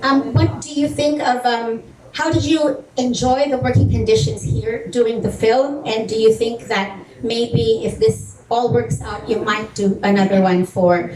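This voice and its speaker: A woman's voice: on the high side, fairly even intonation, a little slow, a little mellow. A woman, a journalist asking questions at a press conference. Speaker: entertainment journalist. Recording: echoey room, distant mic.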